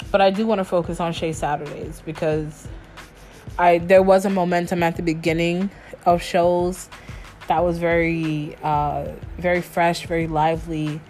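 A woman's voice: on the low side, darkish tone. A woman hosting her own show, talking loosely and casually on her own, with slang and frequent restarts. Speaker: podcast host. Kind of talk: casual solo chat.

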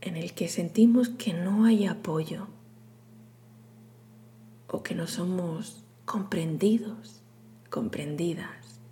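A woman leading a guided meditation in a soothing, hushed, breathy delivery with long pauses. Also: lowish voice. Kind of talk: guided meditation, scripted narration.

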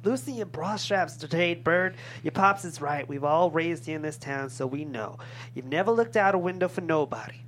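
A woman's voice: low-pitched, moderate pace, slight background noise, close to an everyday voice. An actress playing a bawdy, teasing older small-town woman in a comedy script table read.

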